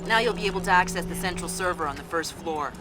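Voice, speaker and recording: An actress playing a young, breezy tech operator in a video game, casual and playful. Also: female voice, fairly low pitch, fast talker, lightly acted. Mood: helpful. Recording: music playing behind.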